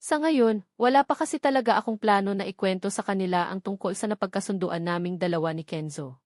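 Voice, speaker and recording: A woman's voice: medium pitch, a little fast, darkish tone, no room sound, studio quality. A woman with a Filipino accent reading a first-person novel as a scripted narration. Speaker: audiobook narrator.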